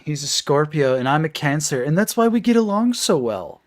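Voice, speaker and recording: A man's voice: fairly high pitch, very animated, normal speed, no echo, clean studio sound. A thirty-year-old man co-hosting a live stream, laughing and teasing in a sarcastic, casual way. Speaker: co-host of a toy collecting live stream.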